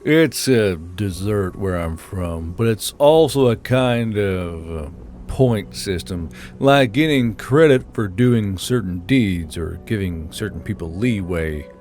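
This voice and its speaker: A man's voice: low-pitched, animated, slow, a little mellow, pitched below the performer's own voice. A man voicing an easygoing, offhand human man with a muttering delivery in an audiobook. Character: easygoing, casual human man, an office boss named Yul. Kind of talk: audiobook character dialogue.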